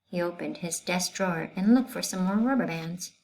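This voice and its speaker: A woman's voice: on the low side, moderate pace, gravelly, darkish tone, poor audio. A woman reading a children's book aloud as a storyteller, narrating expressively with dramatic emphasis.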